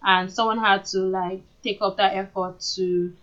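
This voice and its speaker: A woman's voice: mid-range voice, level tone, moderate pace, fairly bright tone. Nigerian woman podcaster, slightly dull audio. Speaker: podcast co-host. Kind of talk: casual conversational discussion.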